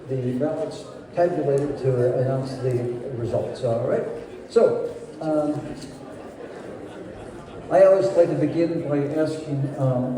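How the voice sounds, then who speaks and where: A man's voice: on the high side, dark tone. A man hosting a live film festival Q&A on stage, talking in loose, rambling sentences. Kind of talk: emceeing a live Q&A. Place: large hall with stage. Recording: echoey hall, distant mic, faint hum.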